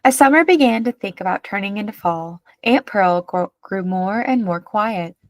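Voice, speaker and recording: A woman reading a children's picture book aloud as an expressive narrator. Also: medium pitch, moderate pace, no room sound.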